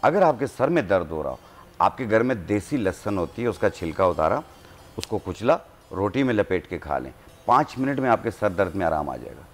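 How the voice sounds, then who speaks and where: A man with a fairly low voice speaks as a herbalist guest on a studio talk show. He walks through each home remedy calmly and steadily, in an instructive tone. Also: fairly quick, slight background noise. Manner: calm, instructive, gives home remedies step by step.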